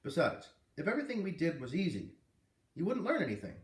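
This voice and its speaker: Guy reading as a storybook male teacher, firm n clear about things, lively pitch, echoey room. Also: fast. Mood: encouraging. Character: calm, encouraging, firm male schoolteacher in a children's novel. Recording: roomy, distant microphone.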